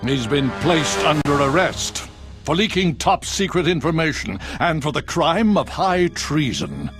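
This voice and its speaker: An actor voicing a cold, scheming male government official, with a condescending, smooth delivery. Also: fairly high pitch, big pitch swings, unhurried, a bit bright, soft background music. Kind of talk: video game cutscene.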